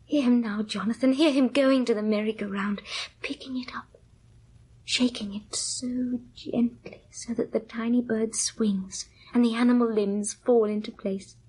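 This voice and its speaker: An actress playing a fragile, wistful young wife in a radio drama, speaking in a hushed, slow, dreamy and insistent way with a breathy voice. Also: female voice, fairly high pitch, fairly even intonation, rough recording. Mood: entranced, yearning. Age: young adult. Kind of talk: old radio drama acting.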